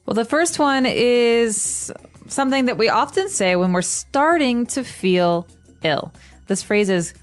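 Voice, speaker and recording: A woman's voice: fairly high pitch, animated, unhurried, soft background music. A woman co-hosting an English-learning podcast, patiently explaining vocabulary in casual chat with examples. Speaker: podcast co-host, English teacher.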